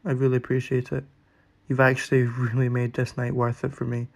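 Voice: medium pitch, monotone, fairly quick, creaky. An actor playing an awkward, anxious, self-deprecating young introverted man in short-story dialogue, hesitant and dry. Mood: awkward, sincere.

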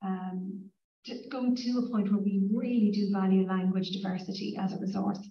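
Dublin woman panelist, level even delivery, heard from across an echoey conference room. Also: medium pitch, normal speed, slight background noise. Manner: earnest, many "you know" fillers. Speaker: university panelist. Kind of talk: panel discussion. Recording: echoey room mic from far off, dull sound.

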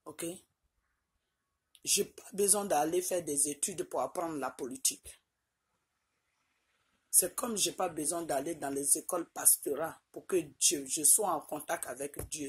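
A woman's voice: low voice, husky. A middle-aged woman from Côte d'Ivoire with an Ivorian French accent, talking straight into her phone camera from a small room, insisting on her points and checking in with "ok?" again and again. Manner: insistent, repeats points with "Ok?" tags. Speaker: vlogger. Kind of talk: direct-to-camera personal address. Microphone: phone camera at arm's length.

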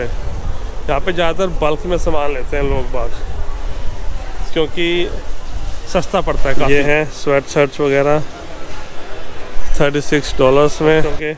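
A man in his thirties from Haryana with a Haryanvi accent, explaining things casually in a shopping vlog and mixing in English terms. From Haryana, India. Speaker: vlogger. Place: car, car park and warehouse store. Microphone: phone or camera at arm's length. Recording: noisy handheld recording with ambient rumble.

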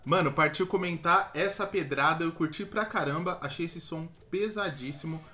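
A man's voice: fairly high pitch, normal speed, sharp tone. A young adult Brazilian man giving casual, slangy, conversational commentary as the host of a reaction video.

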